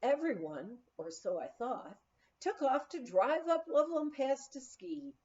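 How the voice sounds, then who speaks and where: A woman reading a memoir aloud in an expressive storytelling style. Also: high-pitched, a little slow, muffled recording. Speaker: audiobook reader.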